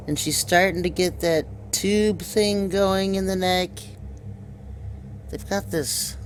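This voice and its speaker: A man hosting an informal video commentary, insistently pointing things out to his viewers. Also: fairly high pitch. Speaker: video host. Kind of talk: informal conspiracy commentary over pictures.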